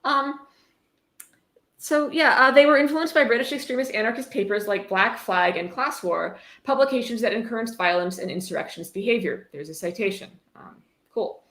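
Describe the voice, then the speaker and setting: A woman's voice: on the high side, normal speed, fairly bright tone. A woman hosting a casual commentary, reading aloud and breaking off for sarcastic, mock-approving asides. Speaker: host. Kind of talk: casual live commentary with a read-aloud book. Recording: echoey room.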